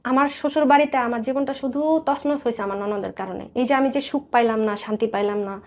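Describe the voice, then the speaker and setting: A Bangladeshi woman shares her personal story as an interview guest. Her voice sits a little high. She speaks earnestly and in detail, returning to points to repeat them. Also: fairly quick, a little mellow. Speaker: guest telling life story.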